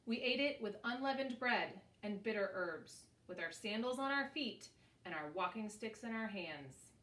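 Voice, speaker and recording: A woman reading scripture aloud with her family during a church service, in a measured, solemn way. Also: medium pitch, lively intonation, fairly bright tone. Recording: echoey church, voice picked up from a distance.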